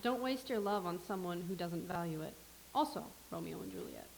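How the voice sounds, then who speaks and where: Sardonic unseen woman with dark asides, low gravelly voice with swooping pitch, played by an actress. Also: animated, a little fast, poor audio. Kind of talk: staged play reading.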